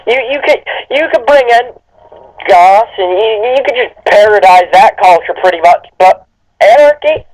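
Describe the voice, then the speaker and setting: A man's voice: high-pitched, animated, normal speed, darkish tone, rough recording. A man co-hosting a comedic reading, reciting a story and adding sarcastic, incredulous asides. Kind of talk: dramatic reading with mocking asides.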